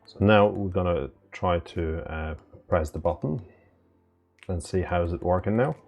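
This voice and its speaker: Deep, gravelly man explaining step by step. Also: a little slow, a little mellow, lo-fi sound, faint music behind. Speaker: technical instructor. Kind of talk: unscripted step-by-step software tutorial.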